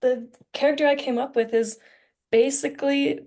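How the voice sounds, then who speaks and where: A woman's voice: sits high, fairly even intonation, normal speed, a little mellow. A young woman talking casually as co-host of a student podcast discussion. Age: young adult.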